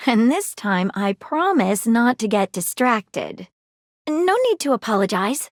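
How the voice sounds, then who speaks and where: Keen, flirty video-game sleuth who is a magnifying glass: bright high voice, very lively melody. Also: female voice, measured pace, no echo, studio quality. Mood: affectionate. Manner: dramatic sleuth delivery, earnest and playful. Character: eager female detective magnifying glass, theatrical and flirty. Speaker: dating-game character.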